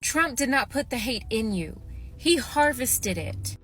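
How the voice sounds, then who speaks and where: A woman's voice: a little high, expressive, a bit bright, soft background music. Lecturing woman in a video monologue. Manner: lecturing, deliberate, emphatic. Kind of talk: social media monologue.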